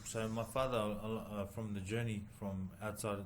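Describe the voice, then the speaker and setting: A man from New Zealand, a boxer, answering interview questions haltingly with many pauses and fillers. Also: on the low side, fairly even intonation, slight background noise. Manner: halting, many ums and uhs, soft-spoken. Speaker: boxer and former rugby league player, guest. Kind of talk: casual interview.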